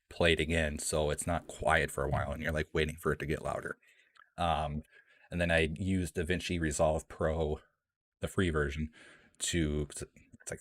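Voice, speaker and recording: A young man with a deep voice hosts a casual live Q&A, rambling with many ums and restarts, and his voice shakes a little from the cold. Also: dry recording. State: shaky voice from the cold. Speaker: streamer hosting a live Q&A. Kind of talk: casual livestream chat. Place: cold room at home. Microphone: home streaming mic.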